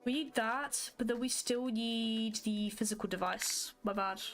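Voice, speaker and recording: A woman with a somewhat high voice talks casually to her viewers while she codes. English is not her first language, and she rambles with lots of fillers and thinks aloud. Soft music plays faintly behind her. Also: a little slow. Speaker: livestreamer coding a graphics engine. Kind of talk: casual live-coding chat with viewers.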